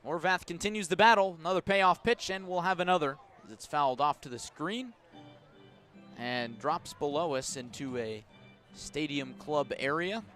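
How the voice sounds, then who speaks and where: Higher-pitched man calling baseball play-by-play. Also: fairly bright tone, soft background music. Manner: measured delivery that rises on action calls. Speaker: baseball play-by-play radio announcer. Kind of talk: live sports commentary with descriptive asides. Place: outdoor ballpark broadcast position above the stands.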